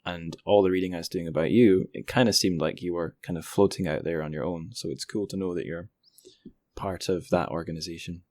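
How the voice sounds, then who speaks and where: Male podcast host, young, deep register, dry recording, relaxed conversational questioning. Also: fairly quick, dry recording. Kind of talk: casual interview.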